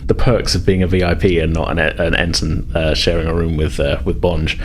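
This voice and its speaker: A man's voice: deep voice, flat delivery, a little fast, noisy background. A man narrating a tabletop role-playing game as game master, in a hesitant, improvised, conversational way.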